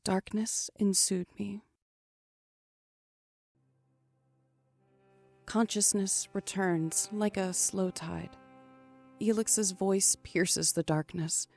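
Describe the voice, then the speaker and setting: An actress playing a frightened but defiant trapped woman in a horror audio drama, with dramatic, halting delivery. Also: mid-range voice, fairly even intonation, creaky, a little mellow, dry recording, soft background music. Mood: dazed, dread.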